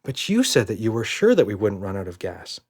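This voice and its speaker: A man's voice: on the low side, huge pitch swings, fairly quick, husky, heavily acted. A man voicing a young girl in a children's storybook, insistent, worried and pleading. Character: little girl, insistent and worried about monsters.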